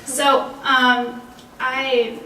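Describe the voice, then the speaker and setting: A woman's voice: sits high, level tone, extremely slow. A young woman in her twenties, speaking conversationally as a panelist and sharing personal anecdotes. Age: 20s. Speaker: panelist, language graduate. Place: college panel event room. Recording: roomy, distant mic, faint background noise.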